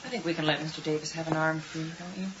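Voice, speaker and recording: An actress playing a calm, patient doctor in a radio drama, measured and gently probing, with a dry edge. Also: female voice, low-pitched, dull audio, background noise, close to an everyday voice. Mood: patient, coaxing. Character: calm, patient female doctor on hospital duty. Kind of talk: 1980s radio drama acting. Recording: band-limited 1980s radio drama, loud music.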